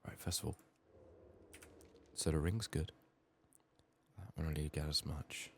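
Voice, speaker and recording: Man, very deep pitch, hushed and soft, occasional whispering, ASMR gaming commentary, muttered thinking aloud, faint background noise. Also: level tone, unhurried. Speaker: gaming video host. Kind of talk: soft-spoken ASMR game playthrough commentary.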